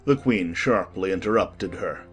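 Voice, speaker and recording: A man's voice: low-pitched, lively intonation, a little slow, faint music behind. A man reading scripted storybook narration expressively.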